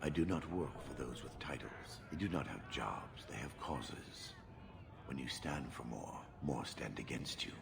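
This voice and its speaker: An actor playing a stoic giant horned mercenary in a video game, gravelly, terse, measured and deadpan. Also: male voice, noisy background, full character voice. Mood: stoic, detached. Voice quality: very deep, gravelly. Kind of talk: video game character acting.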